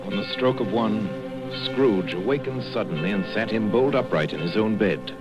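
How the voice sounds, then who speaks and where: A man narrating a vintage radio drama in a measured, wry, literary manner. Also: lowish voice, close to an everyday voice, low-quality recording. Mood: measured, suspenseful. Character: grave, wry male storybook narrator. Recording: vintage radio broadcast, faint music.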